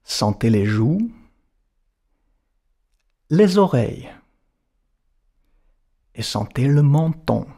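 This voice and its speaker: A man guiding a relaxation as a yoga and meditation teacher, giving measured instructions in short, separated phrases. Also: medium pitch, big pitch swings, warm tone. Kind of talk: guided relaxation narration.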